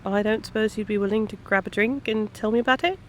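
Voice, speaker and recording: A woman acts out a caring female private eye in a one-sided audio drama. She has a mid-pitched voice and a gentle, questioning, lightly playful way of talking. Clear background ambience runs behind her. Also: quick pace, slight background noise, close to an everyday voice. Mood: gentle, concerned. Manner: soft-hearted, hesitant, questioning, playful asides. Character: kind, concerned, gently teasing female private eye stranger. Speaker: performer of an audio roleplay. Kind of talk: intimate one-sided audio roleplay acting, conversational.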